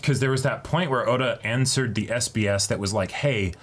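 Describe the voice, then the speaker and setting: A man's voice: medium pitch, fairly quick, a bit bright, slight background noise. A man with a mid-range voice talks casually as a co-host on a fan channel. He rambles through his thoughts in an informal, conversational way and voices imagined quotes along the way.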